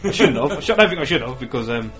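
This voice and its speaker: English bloke on a podcast, mumbly, slangy, swears a lot, faint music under it. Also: male voice, mid-range voice, sing-song, rapid-fire, fairly bright tone. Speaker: podcast co-host. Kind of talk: casual banter.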